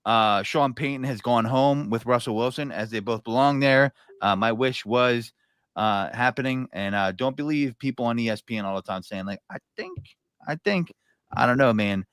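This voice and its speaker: A man's voice: medium pitch, moderate pace, fairly bright tone, smooth, no room sound, studio quality. A man hosting a casual live sports podcast, joking and loose, with plenty of fillers. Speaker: podcast host.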